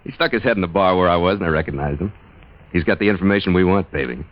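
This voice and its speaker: An actor playing a scheming, smooth-talking waterfront tough in a 1940s radio noir, slangy and insinuating, on a dull old recording. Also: male voice, sits low, very fast, natural voice. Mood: sly, sweet-talking.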